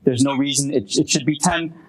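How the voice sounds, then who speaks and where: A man fielding audience questions after a talk, thinking aloud with many pauses and restarts. His pitch sits in an ordinary middle range. He speaks in a conference room. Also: low-quality recording. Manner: hesitant, many restarts and fillers. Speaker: presenter answering audience questions. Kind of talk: academic Q&A at a workshop.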